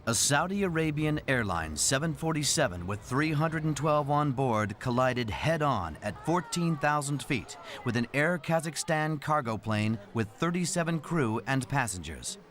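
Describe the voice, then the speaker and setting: A man's voice: mid-range voice, animated, normal speed, hardly any pauses, a bit bright, a little hiss or hum. A man reading scripted, dramatic narration as the narrator of a documentary.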